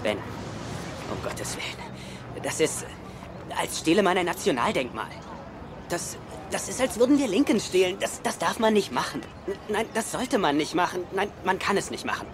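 An actor in a German film dub playing a nervous, wisecracking young treasure-hunting sidekick, sarcastic and prone to stammer. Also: male voice, high voice, very animated, normal speed, noisy background. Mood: alarmed, flustered. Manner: sarcastic, stammering when agitated.

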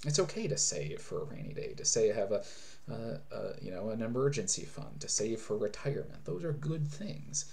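A man's voice: lowish voice, animated, normal speed, gravelly, mellow. A man in his thirties, a pastor, preaching an earnest sermon from a small room at home into a webcam, often restarting phrases as he explains. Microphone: webcam at desk distance.

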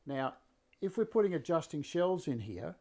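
A man in his sixties with a slightly croaky voice, casually explaining a woodworking build to a live audience from his workshop, full of fillers and restarts. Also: fairly high pitch, moderate pace. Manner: relaxed, rambling, many fillers and restarts. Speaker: woodworking teacher and live-stream host. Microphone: fixed camera, mid-distance framing.

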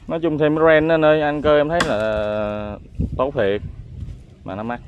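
A man's voice: a little high, flat delivery, normal speed, muffled recording, slight background noise. A man filming a friend outdoors, bantering casually in a teasing, easygoing way. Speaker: cameraman friend. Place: outdoors in a field.

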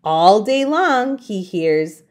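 A woman hosting a children's storytime, reading aloud expressively with deliberate, emphatic phrasing. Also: medium pitch, very animated, darkish tone. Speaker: children's storytime host and reader.

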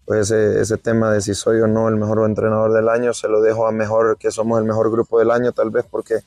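Gravelly low-voiced man, modest coach. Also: monotone, darkish tone, no room sound, soft background music. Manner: modest, halting, repeats words. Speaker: football coach. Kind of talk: post-training interview. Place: outdoor football pitch at night.

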